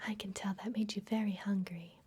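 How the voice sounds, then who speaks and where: A woman whispering gently and unhurriedly in a breathy voice, playing a kindly vampire librarian in an ASMR fantasy roleplay. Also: medium pitch, a little hiss or hum. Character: kindly vampire librarian hosting a human guest. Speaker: ASMR performer.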